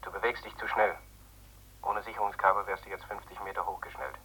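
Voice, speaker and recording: A worried, sardonic astronaut watching over his partner in a radio play. His deep voice is very rough and forceful, dry and sceptical as he presses for answers. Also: little pitch movement, a little fast, poor audio. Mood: businesslike. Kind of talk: German science-fiction radio play acting.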